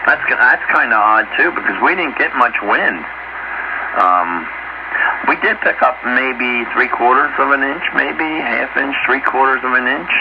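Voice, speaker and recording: A man's voice: mid-range voice, a little slow, vocal fry, highs cut off. A folksy man musing and repeating himself in casual chat over a two-way radio. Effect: two-way radio transmission. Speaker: amateur radio operator. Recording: noisy, band-limited two-way radio.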